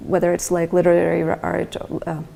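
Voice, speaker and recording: A young woman talks casually as an interview guest, her voice sitting low and mellow with heavy vocal fry throughout. She hesitates often, filling gaps with ums and likes and restarting her sentences. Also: completely flat delivery, fairly quick. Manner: hesitant, many fillers and restarts. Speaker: guest artist.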